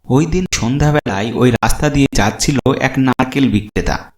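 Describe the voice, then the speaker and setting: A man's voice: medium pitch, moderate pace, darkish tone. A man narrating a scripted horror cartoon story as its storyteller. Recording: roomy echo, clear music behind. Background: background music.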